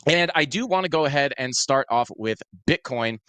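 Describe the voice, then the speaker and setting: A man's voice: medium pitch, animated, normal speed, a bit bright, smooth, no echo. A man hosting a crypto show, talking casually through market charts with many fillers. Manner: casual, filler-heavy, self-deprecating. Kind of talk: casual chart analysis talk. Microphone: clip-on mic.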